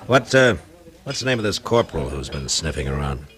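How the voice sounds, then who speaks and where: An American actor playing a gruff, weary, plain-spoken frontier lawman in a 1950s radio western. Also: rumbling low, big pitch swings, fairly quick, a bit bright, heavily acted. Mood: probing. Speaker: lead actor as town marshal. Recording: noisy 1950s radio recording with clicks.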